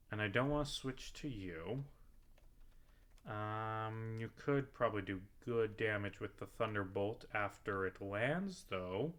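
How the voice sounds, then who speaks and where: Bright-voiced man rambling over gameplay, unhurried. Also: on the low side. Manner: rambling, thinking aloud to himself and the game; casual swearing. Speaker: video game streamer. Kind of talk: casual gameplay commentary.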